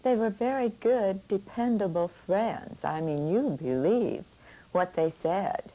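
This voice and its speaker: A woman's voice: mid-range voice, lively intonation, slow pace, mellow, low-quality recording. An older woman recalling the past in an interview in a plain, matter-of-fact way. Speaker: documentary interviewee. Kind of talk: conversational reminiscence.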